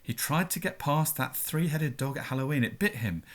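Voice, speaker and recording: A man's voice: on the high side, animated, moderate pace. A man voicing an earnest, eager young schoolboy wizard in a storybook.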